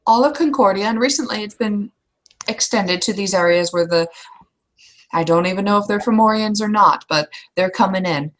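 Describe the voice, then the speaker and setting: A plain-spoken female troll knight, acted out by a woman in a tabletop game, lays things out frankly. Her voice sits at a middling pitch, with a folksy, matter-of-fact, seasoned manner. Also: moderate pace, fairly bright tone, close to an everyday voice.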